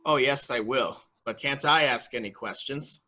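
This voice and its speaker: A man playing a childlike, excitable young man in a murder mystery reading, eager, naive and morbidly curious. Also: mid-range voice, moderate pace, close to an everyday voice. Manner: eager, naive, question after question.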